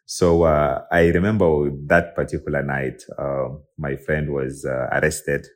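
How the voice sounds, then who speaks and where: A young man from South Sudan telling his life story as a podcast guest, halting, with many restarts and fillers. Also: a bass voice, steady tone. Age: young adult. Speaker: guest, tech and IP lawyer. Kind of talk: personal storytelling in an interview.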